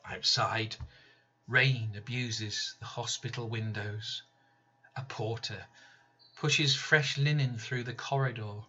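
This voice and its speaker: A man reading his own poem slowly, measured, with sudden loud emphatic rises and soft drops. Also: mid-range voice, steady tone, slightly rough. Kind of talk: slow spoken-word poetry reading. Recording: slightly dull lo-fi recording.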